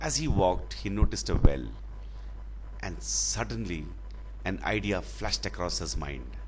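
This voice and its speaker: Expressive male storyteller, very animated pitch. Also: a little slow, slight background noise, poor audio. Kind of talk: dramatic storytelling of a children's fable.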